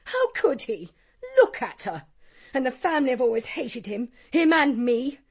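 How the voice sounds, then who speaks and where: A wounded, fiercely defensive woman in a radio play. Her voice is fairly high and husky, pleading and full of emotion. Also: lively intonation, moderate pace, exaggerated voice. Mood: distraught, bitter. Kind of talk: radio drama acting.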